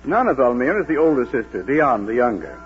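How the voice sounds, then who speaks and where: Proper male businessman: medium-pitched, formal, morally strict, muffled old radio sound. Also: big pitch swings, a little fast, slightly rough, soft background music. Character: formal, reserved, moralistic gentleman estate agent. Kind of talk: Golden Age radio drama acting. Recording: dull old radio drama recording.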